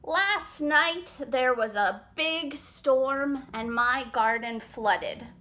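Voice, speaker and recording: A woman voicing a gentle, lost garden snail in a picture book, speaking in a very slow, drawn-out way. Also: high voice, full character voice. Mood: slow, forlorn. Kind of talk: picture book read-aloud.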